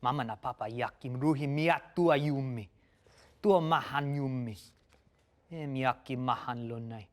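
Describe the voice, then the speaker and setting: A man's voice: medium pitch, normal speed, natural voice. A man voicing a huge, hairy, childlike forest beast who mutters in broken pidgin and has a volatile temper. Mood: suspicious, aggressive.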